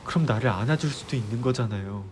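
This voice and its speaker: A man playing an earnest, disillusioned young fiancé in an audio drama, delivering a heartfelt, pleading monologue with deliberate pauses. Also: medium pitch, slight background noise, natural voice. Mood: impassioned, resolute. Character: earnest, disillusioned young male fiance, resolved to leave utopia. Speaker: audio drama creator and voice actor. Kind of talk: Korean ASMR audio drama roleplay. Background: background music.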